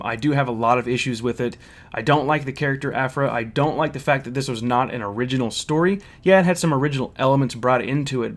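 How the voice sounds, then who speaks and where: A man giving a casual, opinionated review and story recap, speaking in a blunt, critical way. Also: mid-range voice.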